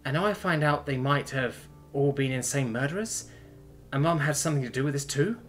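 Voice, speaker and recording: A man's voice: mid-range voice, brisk, faint music behind, pitched above the performer's own voice, lightly acted. A man voicing a tough, foul-mouthed woman who is teasing and tender, in an emotional storytelling read. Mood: grieving, pleading. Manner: blunt, wry, casual swearing.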